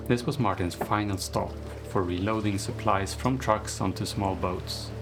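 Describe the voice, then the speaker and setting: A man narrating a travel documentary in a smooth, enthusiastic scripted voice-over. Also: fairly low pitch. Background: music.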